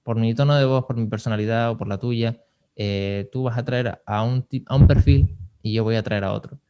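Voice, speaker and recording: A man's voice: medium pitch, brisk, warm tone, clean tone. Man giving casual, explanatory advice. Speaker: podcast host and marketing advisor.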